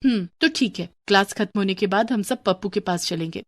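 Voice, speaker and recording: A woman voicing an indignant, mocking young schoolgirl in a cartoon story, with an animated, complaining manner. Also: medium pitch, brisk, lightly acted. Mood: scheming. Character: indignant, mocking young schoolgirls plotting against their teacher. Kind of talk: cartoon moral story dialogue.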